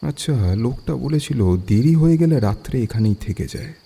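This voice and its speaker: An actor playing a calm young detective in a Bengali audio story, asking questions in a measured, inquisitive way. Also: male voice, mid-range voice, creaky, a little hiss or hum, close to an everyday voice. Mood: calm, probing.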